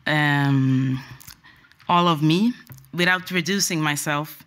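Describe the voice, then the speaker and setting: A woman's voice: deep voice, slow. A woman in her forties from Sweden, a native Swedish speaker with a Swedish accent, giving a personal conference talk and sometimes stopping to restart her sentences. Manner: hesitant, restarts and apologizes.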